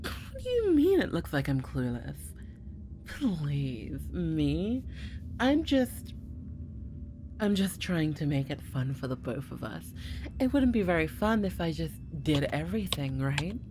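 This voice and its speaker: A woman's voice: low voice, sing-song, a little slow, background noise. Woman playing a teasing, bluffing girlfriend. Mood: playful.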